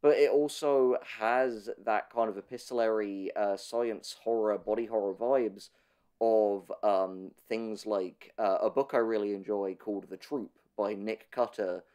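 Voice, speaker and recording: Low-voiced man, thoughtful and halting. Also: fairly even intonation, warm tone, smooth. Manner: thoughtful, hesitant, stammering starts. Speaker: podcast host and commentator. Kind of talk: conversational media analysis discussion.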